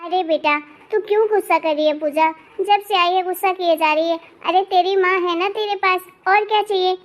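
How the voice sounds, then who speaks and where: A woman voicing an older mother-in-law in an animated family drama, firm and maternal as she admonishes. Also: extremely high pitch, normal speed.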